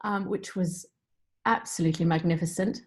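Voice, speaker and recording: A woman's voice: on the low side, fairly even intonation, normal speed. A middle-aged woman musician, raised in Zimbabwe, telling her life story as an interview guest in a reflective, conversational way, with many "you know"s.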